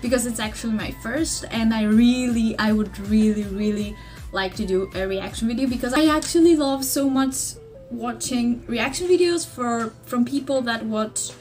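A woman's voice: on the high side, moderate pace, a little mellow, soft background music. A young woman from Greece with a Greek accent, chatting to a webcam in her bedroom in English, her second language, rambling with many fillers and restarts. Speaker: vlogger. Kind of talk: casual chat to camera. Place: small bedroom indoors. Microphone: laptop webcam mic at desk distance.

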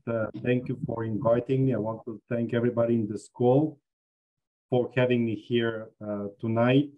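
A man's voice: mid-range voice, warm tone, lo-fi sound. A man from Bulgaria, a business school dean, giving an online lecture with frequent fillers. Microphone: video call.

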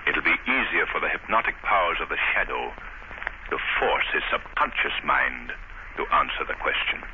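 Gentleman detective, 1930s radio serial: assured, measured, animated delivery, muffled vintage sound. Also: rumbling low, vocal fry, slight background noise, full character voice. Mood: intent. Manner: measured, authoritative, explanatory. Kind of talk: 1930s radio drama acting.

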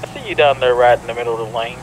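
Fast-talking man over vehicle noise. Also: medium pitch, fairly even intonation. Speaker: fellow player in police roleplay. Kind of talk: casual bantering game voice chat. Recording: clear vehicle noise over game chat.

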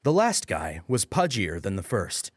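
Low-voiced man narrating, expressive and close. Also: measured pace, slightly rough, no room sound. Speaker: audiobook narrator. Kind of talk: scripted dramatic story narration.

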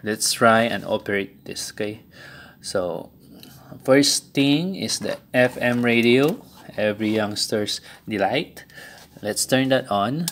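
A man's voice: fairly low pitch, takes it slowly, fairly bright tone. A man giving a casual, explanatory product demonstration, somewhat hesitant, often checking in with the listener. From Philippines. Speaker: product reviewer. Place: indoors, thick-walled building. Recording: noticeable background noise.

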